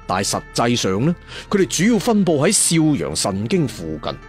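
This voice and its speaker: A man with a Cantonese accent telling a serial fantasy story theatrically, with conversational asides and emphatic endings. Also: medium pitch. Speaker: storyteller narrator.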